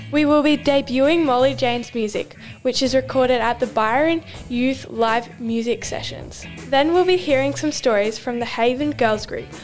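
A teenage girl with an Australian accent reading a scripted introduction as host of a youth radio show. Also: high-pitched. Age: teens. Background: music.

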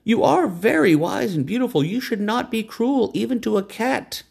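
A sly storybook cat pleads in a raised, coaxing voice with a gravelly edge and a lively lilt, as a male narrator puts him on. He sounds proud even as he begs. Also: high-pitched, moderate pace, pitched above the performer's own voice, heavily acted. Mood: wheedling.

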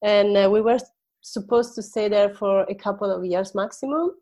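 A woman's voice: mid-range voice, steady tone, moderate pace, dark tone. A woman in her forties with an Italian accent, a native Italian speaker chatting casually as a podcast guest and searching a little for words. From Italy. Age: 40s. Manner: hesitant, searching for words, often laughing. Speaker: podcast guest, life coach. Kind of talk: casual personal storytelling in an interview.

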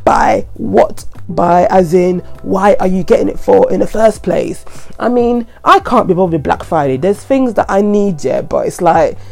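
A woman, croaky from a head cold, giving chatty, repetitive money advice straight to her viewers. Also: medium pitch, lively intonation, normal speed, a little mellow, soft background music. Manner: chatty, repetitive, earnest warnings. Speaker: vlogger. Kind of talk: casual advice monologue to camera.

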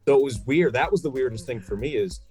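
A man's voice: medium pitch, expressive, quick pace, darkish tone, faint music behind. A man who works as a sports broadcaster, chatting casually in a blunt, colloquial way. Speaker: sports broadcaster, podcast guest. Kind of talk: casual chat.

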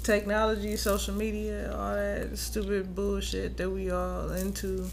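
A woman's voice: medium pitch, completely flat delivery, measured pace, vocal fry. An American woman co-hosting a podcast, chatting casually in a blunt, informal, profane way. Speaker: podcast co-host. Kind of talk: casual chat.

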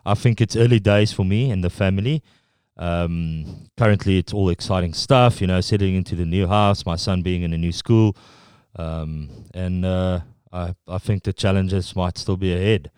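Middle-aged South African guest, Afrikaans accent, resonant low voice, stop-start delivery. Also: male voice, fairly even intonation, a little mellow, clean tone, no echo, clean studio sound. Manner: halting, full of restarts and fillers. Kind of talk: casual interview chat.

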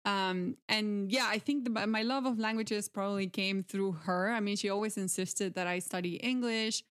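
A woman from Argentina, a translator, talking in an interview in a relaxed way, laughing often and using many fillers. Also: mid-range voice, moderate pace, smooth. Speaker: translator guest.

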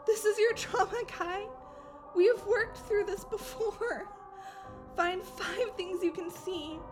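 A woman's voice: very high-pitched, very slow, roomy sound, in a large hall, full character voice. A woman acting as a frightened, loyal friend, emotional and imploring, in a horror audio drama. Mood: soft, coaxing. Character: terrified, loyal young woman pleading with possessed friend. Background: music.

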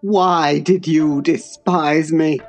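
A woman voicing an anguished, fierce young man in wild grief, bitter and accusing with heavy, slow stresses. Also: low-pitched, steady tone, a little mellow, faint music behind.

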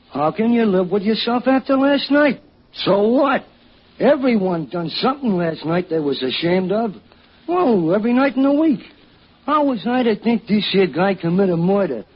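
An actor playing a cocky, uneducated prankster in a 1940s radio drama, speaking in folksy, slangy, ungrammatical phrases. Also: male voice, very high voice, breathy edge, exaggerated voice. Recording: muffled old radio recording.

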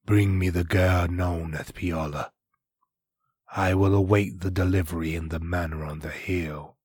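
A man doing a deep, cold villain. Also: steady tone, a little slow, darkish tone, slightly rough, no echo, clean studio sound. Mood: menacing.

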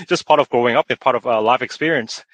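A man from Hong Kong hosting an interview, asking questions in a relaxed, friendly way. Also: medium pitch, a little fast, no echo. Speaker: host. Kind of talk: conversational interview.

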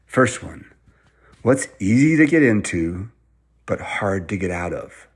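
A man voicing a hungry, gloating troll in a told story, menacing and drawn-out, with theatrical groans. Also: on the low side, very animated, slightly rough, full character voice. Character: gloating hungry riddle-game troll, sore loser. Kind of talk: hammy oral storytelling character.

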